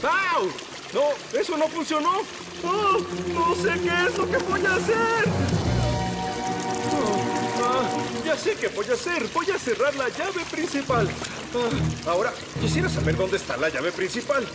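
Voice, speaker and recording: A man's voice: very high-pitched, sing-song, unhurried, heavily acted. A cheerful, bumbling cartoon handyman. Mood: flustered. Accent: Latin American Spanish. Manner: chatty, talks to himself, hums while working. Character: cheerful bumbling handyman Mike, middle-aged. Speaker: cartoon character. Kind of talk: Latin American Spanish cartoon dub. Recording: studio dub under loud music. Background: loud background music.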